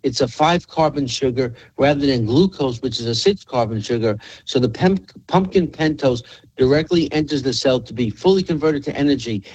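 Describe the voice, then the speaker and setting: A man's voice: mid-range voice, darkish tone, husky, no echo, dull audio. A man hosting a health radio show, explaining in an emphatic way and repeating phrases for effect. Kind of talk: health talk radio with product pitch.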